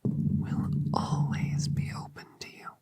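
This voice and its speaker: A woman voicing a colossal female dragon mother in an ASMR roleplay, whispering softly and tenderly with a breathy voice. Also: no room sound, close to an everyday voice. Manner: soft, slow, tender reassurance.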